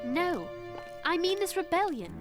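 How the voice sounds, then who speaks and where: A woman's voice: very high voice, big pitch swings, unhurried, loud music behind the voice, exaggerated voice. A woman voicing a spirited, proper teenage girl with an English accent in a cartoon. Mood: worried.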